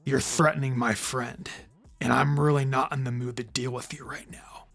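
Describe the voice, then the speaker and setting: A man's voice: mid-range voice, little pitch movement, normal speed, vocal fry, fairly bright tone, voice pushed down. A man voicing a blunt, protective teenage boy ninja with a curt, controlled manner in a story reading. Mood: cold, defiant.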